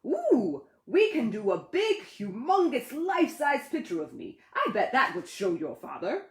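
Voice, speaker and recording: An exuberant male imaginary friend from a storybook, acted out by a woman. He is playful, booming and exaggerated, leaping around in pitch. The room echoes around the voice. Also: measured pace, exaggerated voice. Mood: excited, mischievous. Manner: excitable, exaggerated. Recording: roomy, echoey sound.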